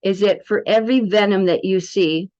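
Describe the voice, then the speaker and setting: A woman's voice: mid-range voice, moderate pace, a little mellow. Chatty woman, dull lo-fi audio. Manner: chatty, rambling, addressing viewers directly. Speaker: product demonstrator and alternative health promoter. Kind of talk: informal product demo.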